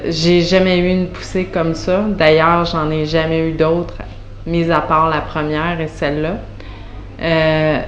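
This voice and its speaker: A woman's voice: on the low side, dull audio. A woman in her thirties from Montreal with a Quebec French accent gives an unscripted testimonial, hesitant and full of fillers and restarts. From Montreal, Canada. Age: 30s. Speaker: patient giving a testimonial. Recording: roomy echo with faint background noise.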